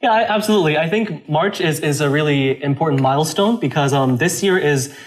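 A man's voice: a little high, a little fast. Young man from China, musing aloud. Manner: thoughtful, with frequent fillers and restarts. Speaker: interview guest, a cappella singer. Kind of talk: casual interview talk.

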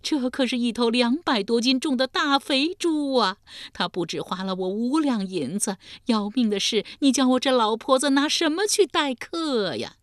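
A woman voicing a distraught old village woman with a high, wailing, crying voice. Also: very animated, measured pace, fairly bright tone, no room sound, studio quality.

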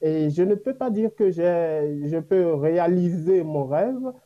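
A man's voice: on the high side, animated, takes it slowly, dark tone, lo-fi sound. A man from Africa, a native speaker of an African language, answering in an unscripted panel interview, reflective and earnest, with hesitations. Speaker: interview guest living in China.

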